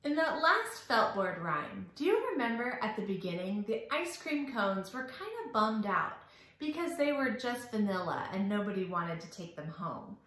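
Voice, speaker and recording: A woman's voice: medium pitch, sing-song, normal speed, a bit bright. A woman hosting a children's storytime, speaking in an exaggerated, sing-song way, asking questions and giving praise as she tells the story. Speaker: children's library storytime host. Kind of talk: interactive storytelling for young children. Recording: very echoey room.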